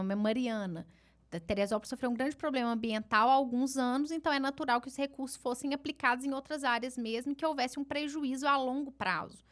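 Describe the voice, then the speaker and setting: Woman, regional Brazilian accent, higher voice, chatty seminar lecturer. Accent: Brazilian Portuguese, regional. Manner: chatty, self-deprecating, fast restarts. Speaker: law lecturer. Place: conference hall.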